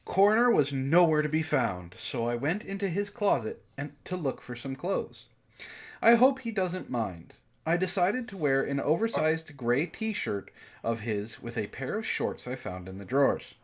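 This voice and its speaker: A man with a fairly high, very animated voice reads fiction aloud as a book-club host. He keeps stepping out of the text with exasperated, incredulous comments. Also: normal speed. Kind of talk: reading fan fiction aloud with mocking commentary.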